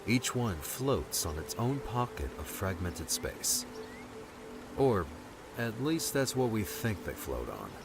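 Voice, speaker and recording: A man's voice: lowish voice, very animated, slow, vocal fry, music in the background, full character voice. A man playing a laid-back, protective rescuer with dry humor in an audiobook. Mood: explaining, wry. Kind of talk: audiobook character dialogue.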